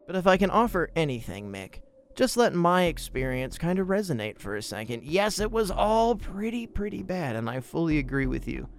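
Guy podcast host, gravelly but smooth voice, chatting about movies by himself, leans hard on words. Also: on the high side, very animated, normal speed. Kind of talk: casual solo film commentary.

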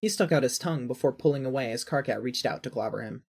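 Husky story narrator, medium-low pitch. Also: fast, a little mellow. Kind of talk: scripted second-person story narration.

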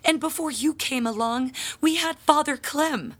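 Casting: an aggrieved, pompous church lady for audio drama, higher-pitched, grandly melodramatic and indignant. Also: a little slow. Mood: melodramatic outrage. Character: aggrieved, pompous church handbell ringer, a self-dramatizing woman. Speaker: parishioner in confession. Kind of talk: audio drama acting, theatrical monologue.

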